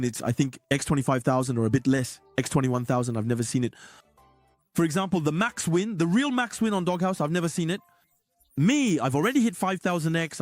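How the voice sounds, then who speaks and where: A hoarse man giving excited, repetitive casino slot commentary as a streamer. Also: fairly high pitch, dry recording.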